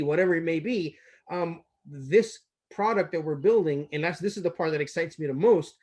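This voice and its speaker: An enthusiastic middle-aged man from Turkey talks through a business idea in casual conversation. His voice sits a little high, and he often repeats words while he works out his thought. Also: a little mellow. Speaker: company CEO.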